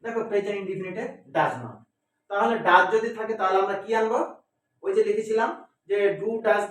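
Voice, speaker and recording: A man's voice: high-pitched, brisk, a bit bright. A man teaching English grammar step by step in a didactic way, asking and answering his own questions and repeating key words. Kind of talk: step-by-step classroom lesson. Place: echoey indoor room. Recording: very echoey room, distant microphone.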